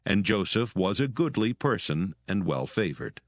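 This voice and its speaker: A man narrating dramatised scripture in a solemn, measured manner. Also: lowish voice, very animated, dry recording, clean studio sound.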